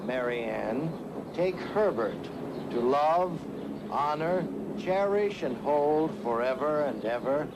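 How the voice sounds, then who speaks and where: An actor playing a solemn, formal wedding officiant in a TV sitcom, measured and ceremonious. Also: male voice, fairly high pitch, expressive. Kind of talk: TV sitcom acting. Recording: dull, noisy old soundtrack.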